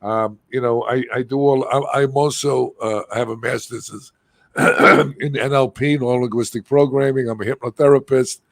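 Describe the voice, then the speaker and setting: A man's voice: medium pitch, steady tone, moderate pace, gravelly, no room sound. A man in his seventies hosting an interview, talking in a rambling, chatty way with frequent laughs. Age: 70s. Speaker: show host. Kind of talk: casual interview chat.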